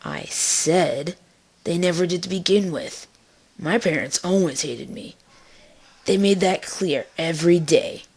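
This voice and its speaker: A woman's voice: on the low side, measured pace, a little mellow, slightly rough. A woman voicing a young female pony, blunt and protective, speaking earnestly and emphatically in a fan fiction drama. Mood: bitter.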